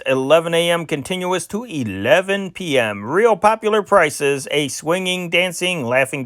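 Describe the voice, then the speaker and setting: A man hosting an amateur reading of vintage newspaper news and listings, with a halting delivery that stumbles over names. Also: a little high, fairly bright tone, no echo, clean studio sound.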